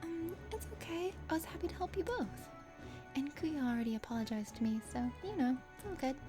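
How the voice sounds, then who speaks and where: Woman voicing a cheerful young adventuress, high and sweet. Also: animated, brisk, over music. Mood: bright, eager.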